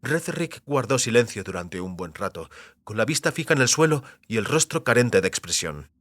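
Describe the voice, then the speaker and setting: A man's voice: mid-range voice, very animated, normal speed, dry recording. A man narrating scripted fiction as an audiobook narrator, in a dramatic storytelling style. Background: soft music.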